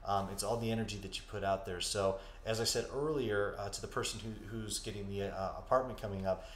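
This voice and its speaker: A man with a fairly low voice talks through psychic impressions, pausing often with fillers before reassuring his listeners. The sound is roomy and echoey, with a faint steady noise underneath. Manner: hesitant, filler-heavy, reassuring. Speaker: psychic medium podcast co-host. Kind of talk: live-stream psychic readings and chat. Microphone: studio mic.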